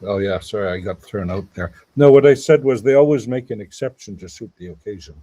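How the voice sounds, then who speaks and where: A male professor explains grammar in a measured, scholarly way. His voice is fairly low and husky, with a soft, mellow tone. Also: dry recording. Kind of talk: scholarly panel discussion.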